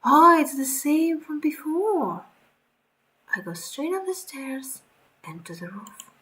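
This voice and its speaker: A woman streamer reading horror game narration aloud in a dramatic, breathy storytelling way, with short reactions of her own. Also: high-pitched, lively intonation, slow, fairly bright tone, rough recording. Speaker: streamer playing a horror visual novel. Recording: background music under the voice.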